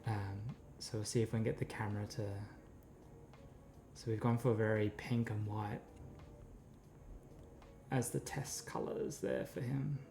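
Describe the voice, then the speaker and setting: A man's voice: lowish voice, steady tone, a little slow, vocal fry, pauses often. A man with a scratchy voice chatting casually with viewers while painting miniatures, rambling and answering their messages. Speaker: streamer.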